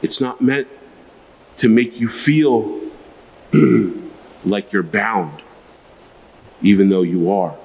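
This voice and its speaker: Low-voiced middle-aged priest preaching. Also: male voice, very slow, gravelly, pauses often, darkish tone, low-quality recording. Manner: explanatory, emphatic, with rhetorical questions. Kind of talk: homily, spoken to a congregation. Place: church sanctuary at a lectern. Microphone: gooseneck lectern microphone.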